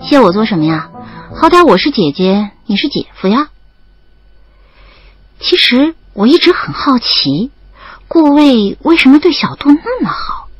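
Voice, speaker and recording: A young female student narrating an audio drama with playful, wry flair. Her voice sits on the high side and moves lively from line to line, with soft music behind it. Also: measured pace, long pauses, breathy edge, no echo, dull audio, heavily acted. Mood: gentle, fond.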